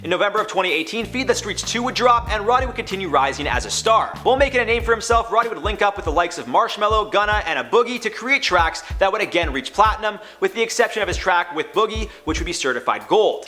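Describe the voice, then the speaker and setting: Fast, bright-voiced male narrator. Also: sits high. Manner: brisk, upbeat storytelling. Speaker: host. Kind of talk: scripted biography narration.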